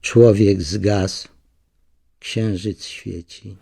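A man reciting a short poem slowly and solemnly. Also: fairly low pitch, steady tone, vocal fry, warm tone, slight background noise. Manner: slow, repetitive, solemn reading. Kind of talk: poetry recitation.

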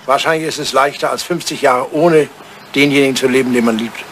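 A man's voice: mid-range voice, fairly quick, slight background noise, lightly acted. An older man acting a lonely, lovelorn English lord in a German radio drama, insistent and theatrical in his phrasing. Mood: wistful. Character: wistful old English lord, lonely lovelorn bachelor writer.

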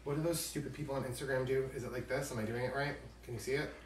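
A man's voice: medium pitch, fairly even intonation, rapid-fire, a crowd in the background. A man hosting a casual live cooking demonstration, chatty and joking with campy asides. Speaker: cooking show host. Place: home kitchen, indoors. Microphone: camera a few steps away. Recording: echoey, distant, noisy kitchen with dish clatter.

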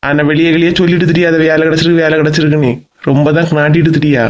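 A man acting high-pitched, mocking Tamil husband. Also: level tone, racing, highs cut off, close to an everyday voice. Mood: grumbling, dismissive. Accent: Kanyakumari Tamil. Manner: mocking, questioning. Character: sceptical, teasing middle-aged husband, grumbling. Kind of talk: comedy cartoon dialogue.

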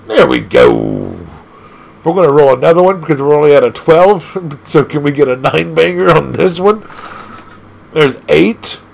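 A man casually commenting on an arcade game as he plays, excitable and laughing often, leaping from squeaky highs to low growls. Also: normal speed, gravelly, a little hiss or hum. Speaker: gaming channel host.

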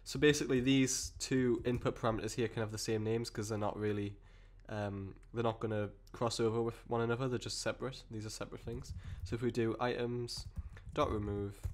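A man with a cold and a croaky voice, explaining code step by step in a rambling way with many fillers and restarts. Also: medium pitch, flat delivery, brisk, hardly any pauses. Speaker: programming tutor. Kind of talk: screen-recorded coding tutorial.

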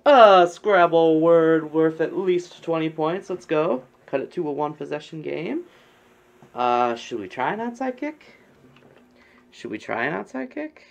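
Slow, creaky young man streaming games. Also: fairly high pitch, expressive. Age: young adult. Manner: repeats phrases over and over. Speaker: game streamer. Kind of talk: casual joking gameplay commentary. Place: bedroom, indoors. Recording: dull, lo-fi webcam stream audio.

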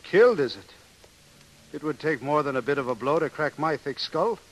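An actor playing a boastful, smitten Irish sailor with lilting, flowery talk in a 1930s radio drama. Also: male voice, fairly high pitch, lively intonation, moderate pace, poor audio. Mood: boastful, admiring. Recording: hissy 1930s radio broadcast.

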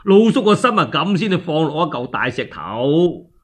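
A man's voice: fairly high pitch, big pitch swings, moderate pace. A man with a Cantonese accent telling a story in a theatrical, colloquial radio storytelling style. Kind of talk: dramatic solo storytelling for radio.

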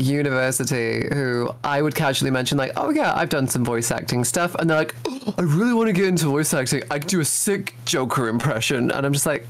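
A young man, a voice actor guest, talking casually in an interview with lots of ums and restarts. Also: fairly high pitch. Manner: hesitant, many fillers and restarts.

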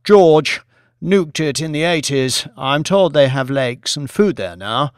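A man's voice: fairly high pitch, animated, takes it slowly, clean tone, dry recording, clean studio sound. Man voicing Billy, a breezy, jovial pub bloke. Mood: jovial, coaxing. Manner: casual, offhand, upbeat. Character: Billy, jovial, breezy, beer-drinking man, cool and evasive.

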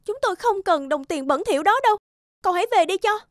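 A woman's voice: extremely high pitch, expressive, fast talker, no echo, studio quality. A woman voicing a proud, wronged young embroidery girl in a cartoon, curt and reproachful. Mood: cold, indignant. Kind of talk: cartoon voice acting. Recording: music behind the voice.

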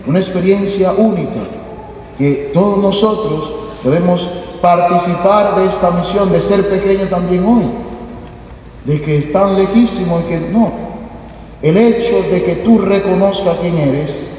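A priest preaching a homily in earnest, repetitive phrases with frequent filler tags, in a large church. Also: male voice, sits high, a little slow, darkish tone, a little hiss or hum. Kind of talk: homily, then interpreting into Spanish. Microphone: headset mic. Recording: echoey church hall from a distant camera.

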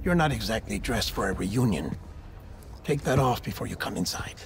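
An actor playing a solemn, polite medical official in a video game, formal, deferential and gently grave. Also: male voice, lowish voice, animated, normal speed, vocal fry, a little hiss or hum. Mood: matter-of-fact. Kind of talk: cinematic video game acting.

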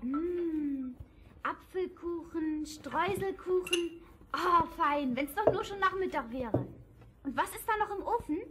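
A woman playing an excited little birthday girl in a 1970s radio play for children, chirpy and childlike. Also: very high-pitched, slow, background noise, exaggerated voice. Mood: eager. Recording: vinyl rip with music bed.

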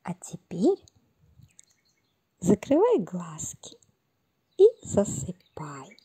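A woman telling a bedtime fairy tale gently and soothingly, recorded outdoors in a garden on a camera at a distance. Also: fairly low pitch, huge pitch swings, husky. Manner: gentle, soothing, unhurried storytelling. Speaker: storyteller and author.

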